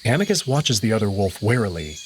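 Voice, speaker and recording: A man narrating a visual novel in the first person with an expressive, dramatic reading. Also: lowish voice, fairly quick, slight background noise.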